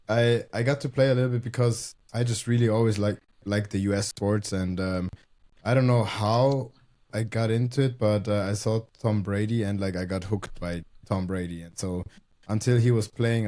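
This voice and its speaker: A man hosts a casual interview. His voice is low and mellow, with a dark, soft tone. He hesitates often and feels his way into each question. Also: steady tone, moderate pace. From Germany. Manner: hesitant, searching for words. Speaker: podcast host.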